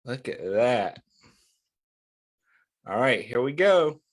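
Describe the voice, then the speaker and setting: Slow-paced man hosting children's story time. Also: mid-range voice, very animated. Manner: encouraging, addresses listeners with questions and pauses for answers. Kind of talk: interactive read-aloud for young children.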